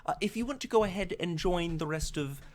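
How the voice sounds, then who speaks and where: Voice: mid-range voice, a little fast, pitched above the performer's own voice, close to an everyday voice. A game master voicing a polite, officious elderly woman who guides museum tours, with a formal, hesitant manner. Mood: courteous.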